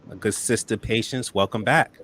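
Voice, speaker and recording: A man hosting a talk show, upbeat and warm with his guests, often repeating words as he starts sentences. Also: on the low side, moderate pace, a little hiss or hum. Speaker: host.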